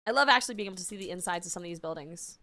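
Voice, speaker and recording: A woman streamer casually reacting to a video game in short, expressive exclamations. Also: fairly low pitch, rapid-fire, a little hiss or hum. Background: faint game music.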